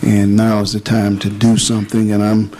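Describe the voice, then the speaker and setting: A man chairing a city commission meeting, speaking in measured, deliberate formal remarks. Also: lowish voice, monotone, warm tone, a little hiss or hum.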